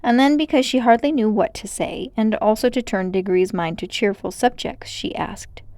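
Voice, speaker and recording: A woman reading a children's story aloud as an expressive narrator. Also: a little high, normal speed, no room sound.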